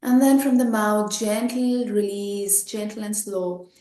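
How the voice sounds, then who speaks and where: A woman guiding a meditation, giving gentle instructions in short, unhurried phrases with pauses. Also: medium pitch, fairly even intonation, roomy sound, low-quality recording. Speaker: meditation guide.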